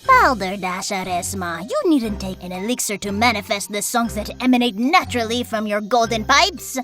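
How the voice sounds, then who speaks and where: A woman as a bright, fawning cartoon bat sidekick. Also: mid-range voice, expressive, normal speed, faint music behind, lightly acted. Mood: flattering. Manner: obsequious, formal, florid. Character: fawning, wordy bat attendant to a diva. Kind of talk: animated film voice acting.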